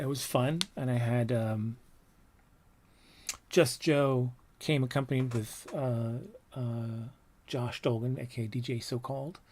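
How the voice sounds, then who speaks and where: A man in his fifties with a low, soft voice, reminiscing casually on a livestream about his old art, with many ums and trailing thoughts. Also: slight background noise. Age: 50s. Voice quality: low, soft voice that doesn't project. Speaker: comics artist showing his old work.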